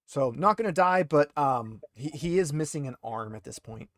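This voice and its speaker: A man running a tabletop roleplaying game, explaining rules and narrating in a casual, halting way as he thinks aloud. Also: on the high side, lively intonation, a bit bright, no room sound, clean studio sound. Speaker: game master.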